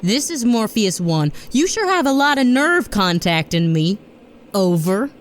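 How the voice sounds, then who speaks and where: An actress playing a tough, sarcastic woman who leads a space mission in an audio drama, with a brisk, sardonic delivery. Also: mid-range voice, very animated. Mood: wary, indignant. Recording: noisy background ambience.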